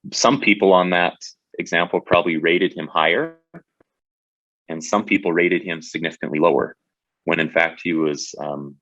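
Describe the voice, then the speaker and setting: A man's voice: sits low, fairly bright tone, no room sound, lo-fi sound. A man presenting a webinar in a conversational way, often restarting his sentences. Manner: conversational, with many fillers and restarts.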